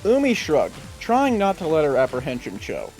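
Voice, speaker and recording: A man's voice: a little high, huge pitch swings, normal speed, a little mellow, soft background music. A man narrating a fan fiction story aloud in a live read-along.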